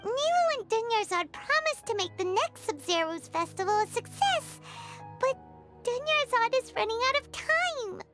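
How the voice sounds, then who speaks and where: A woman voicing a small, excitable, blunt and loyal fairy companion with a squeaky childlike voice in a video game. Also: moderate pace, loud music behind the voice. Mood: dejected. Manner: refers to herself in third person, expressive.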